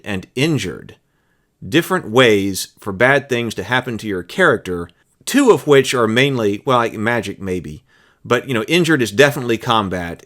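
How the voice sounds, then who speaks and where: A man's voice: medium pitch, a bit bright. A man presenting as a host, reading rules aloud and adding casual commentary of his own.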